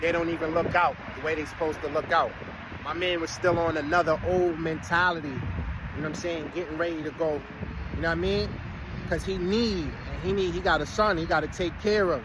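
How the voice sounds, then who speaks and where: A man's voice: fairly high pitch, fairly even intonation, a little fast. Man in his late 30s ranting outdoors. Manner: rambling, streetwise slang, preachy asides. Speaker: vlogger. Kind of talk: casual monologue to followers. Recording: outdoor street noise, vehicles passing.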